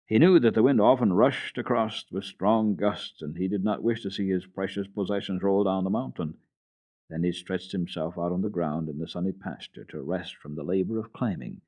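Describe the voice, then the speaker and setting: A man's voice: lowish voice, a little mellow. A man giving a dramatic storybook reading of a children's classic as a radio storyteller.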